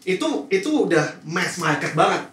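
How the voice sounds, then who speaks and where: A man explaining things in a casual, somewhat halting way as a podcast panelist. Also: fairly high pitch, steady tone, fairly bright tone. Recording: echoey room, distant mic, faint noise.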